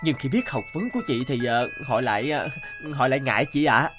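A man's voice: medium pitch, fairly quick, natural voice. Man playing polite young agent over music. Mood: apologetic, tactful. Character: polite, hesitant young male matchmaking agent. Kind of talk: cartoon voice acting. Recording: music behind the voice.

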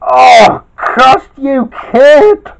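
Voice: sits high, expressive, very slow, creaky, pitched above the performer's own voice, exaggerated voice. An amateur storyteller voicing a sulky male villain in a moaning, melodramatic way. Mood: sulky, defensive. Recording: dull, lo-fi home recording.

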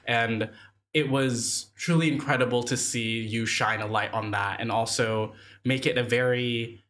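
A man's voice: mid-range voice, fairly even intonation, a little slow, a bit bright, slight background noise. A young man hosting a film podcast, enthusiastic and encouraging as he interviews his guest. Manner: enthusiastic, encouraging, lots of backchannel. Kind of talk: casual interview talk.

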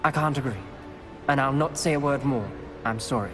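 A reserved, wary teenage wizard from a video game, played by a man. He speaks firmly at a medium pitch, with clear music behind him. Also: a little fast, close to an everyday voice.

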